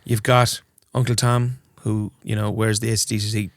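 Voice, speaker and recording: A man's voice: fairly low pitch, little pitch movement, normal speed, vocal fry, dry recording, clean studio sound. An Irish man, a wedding band musician, chatting casually and colloquially as an interview guest, often acting out bits of imagined conversation. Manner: colloquial, acts out imagined exchanges with clients. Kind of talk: casual chat.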